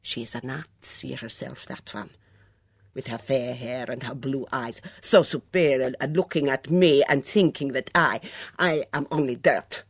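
Sullen foreign cook with an accent, melodramatic and self-pitying, quick and swinging in pitch, dry close sound , put on by the reader. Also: female voice, lively intonation, no echo. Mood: resentful. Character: sullen foreign woman cook, dramatic, suspicious.

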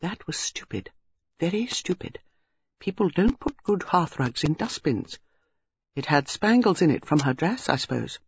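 Reader voicing a prim, softly husky old spinster. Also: mid-range voice, big pitch swings, no echo, muffled recording. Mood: quietly vexed. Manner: measured, grave, quietly vexed. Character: prim, shrewd, gentle elderly spinster.

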